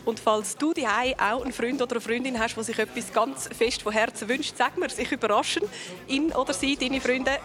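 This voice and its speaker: A woman's voice: fairly high pitch, fairly even intonation, moderate pace, a bit bright. A woman with a Swiss German accent hosting a children's show in a chatty interviewing style. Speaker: host of a children's surprise show. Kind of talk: chatty presenting and interviewing for kids.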